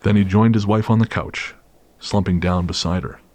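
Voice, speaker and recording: Low-voiced man narrating a dramatic story. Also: steady tone, moderate pace, a little mellow. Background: faint music.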